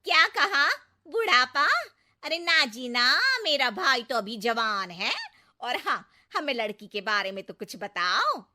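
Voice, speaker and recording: A woman's voice: on the high side, lively intonation, unhurried, bright, forceful tone, exaggerated voice. A woman voicing a brash, scheming elderly sister who matchmakes for her brother in a cartoon comedy story, chatty and wheedling. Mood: indignant.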